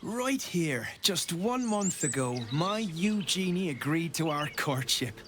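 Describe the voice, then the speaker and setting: An effusive, lovestruck video game townsman. He gushes unhurriedly with lively pitch, amid loud outdoor noise and buzzing insects. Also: slow. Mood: smitten. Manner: gushing, chatty. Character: effusive, lovestruck man boasting of courtship.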